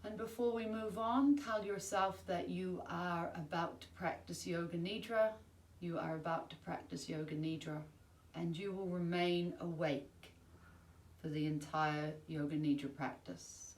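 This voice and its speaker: Low-voiced woman guiding a slow relaxation meditation. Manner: calm, soothing, measured instruction with long pauses. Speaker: yoga nidra teacher. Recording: roomy, distant mic, faint background noise.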